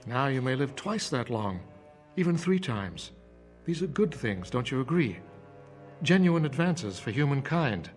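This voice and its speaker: A man playing a calm professor in a radio drama, persuasive and didactic, phrasing things deliberately. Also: medium pitch, faint music behind. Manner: measured, didactic, deliberate repetition. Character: calm, persuasive male professor preaching conformity.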